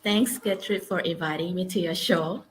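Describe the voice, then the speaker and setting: A woman from Malaysia in her forties speaks with a notably deep voice, telling her story with lots of hesitations and fillers. Faint background noise runs behind her. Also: moderate pace. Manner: hesitant, many ums and uhs. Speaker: guest. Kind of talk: casual interview storytelling. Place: Melbourne.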